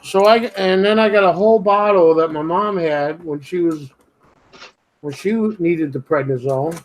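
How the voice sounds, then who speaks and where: A man's voice: fairly high pitch, fairly even intonation, normal speed, low-quality recording. A man with a slight Brooklyn-ish accent chatting casually, blunt and grumbling, swearing freely. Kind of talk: casual late-night chat.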